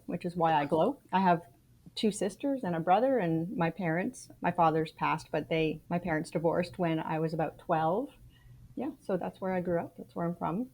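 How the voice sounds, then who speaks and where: A woman's voice: lowish voice, darkish tone, rough recording. A Canadian woman in her forties, a podcast guest, telling her life story frankly and with dry wry humor. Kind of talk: casual interview storytelling.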